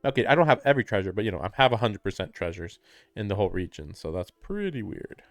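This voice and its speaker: A man's voice: lowish voice, very animated, fast talker, dry recording. A man livestreaming a game with casual, amused commentary, rambling to himself as he goes. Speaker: live streamer. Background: game music.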